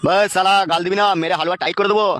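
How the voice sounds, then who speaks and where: A man's voice: sits high, fairly even intonation, very fast, a bit bright. A man voicing an impatient, foul-mouthed village bully in a comic cartoon, threatening and scolding. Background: background music.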